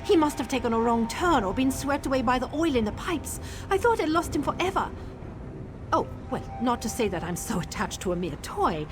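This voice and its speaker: A woman voicing a defensive but soft-hearted researcher in a fantasy video game, prickly and expressive. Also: high-pitched, fairly quick, over music. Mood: relieved, attached. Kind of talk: AI-voiced video game dialogue.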